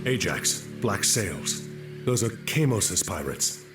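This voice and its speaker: Resolute soldier hero, echoey. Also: male voice, on the low side, sing-song, slow pace, far from the mic, over music. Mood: grim, alert. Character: stern, resolute heroic soldier commander. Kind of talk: video game cutscene acting.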